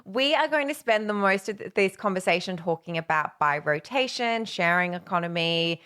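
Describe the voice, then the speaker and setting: A woman's voice: mid-range voice, expressive, normal speed, pushed and bright, smooth. A woman hosting a podcast, introducing and interviewing her guest with upbeat enthusiasm. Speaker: podcast host.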